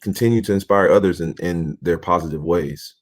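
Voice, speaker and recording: A young man with a very low voice talks in a relaxed, earnest way. He fills his sentences with "you know" as he co-hosts a casual chat. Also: fairly quick. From Nigeria, raised in Houston. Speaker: channel co-host, professional basketball player.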